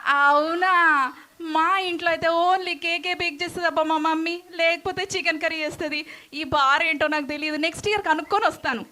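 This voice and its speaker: A woman plays an excitable little girl puppet with a very high, bright voice. The child is chatty, naive and boastful, full of questions, in a children's puppet show. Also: a little fast.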